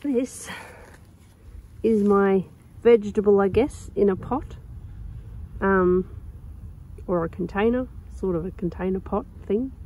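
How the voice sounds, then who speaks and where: A young woman with a croaky sore throat, chatting casually and rambling with lots of ums while filming her garden outdoors on a phone. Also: medium pitch, slow, rough recording. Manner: rambling, chatty, with many ums and soft laughs. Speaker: gardening vlogger. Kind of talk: casual chat.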